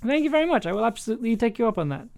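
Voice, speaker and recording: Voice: medium pitch, big pitch swings, racing, vocal fry, darkish tone. A streamer chatting casually and informally while editing audio, thinking aloud into a desk microphone. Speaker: streamer, audio drama producer. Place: indoors at a computer desk.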